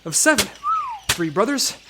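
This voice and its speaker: A man's voice: sits high, big pitch swings, normal speed, very noisy. A man playing an earnest, dutiful government agent in an audio comedy drama, speaking sincerely. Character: earnest younger male government agent, dutiful team leader.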